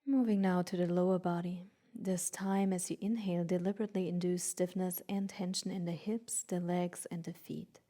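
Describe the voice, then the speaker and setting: Soft, mellow woman guiding meditation. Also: fairly low pitch, fairly even intonation. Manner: soothing, unhurried, gently instructing with long pauses. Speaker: guided meditation teacher. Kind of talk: slow guided meditation.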